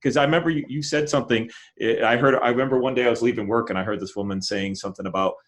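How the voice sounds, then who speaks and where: A man's voice: medium pitch, fairly even intonation, rapid-fire. A man hosting a podcast, chatting casually in a conversational, rambling way with many restarts. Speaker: podcast host.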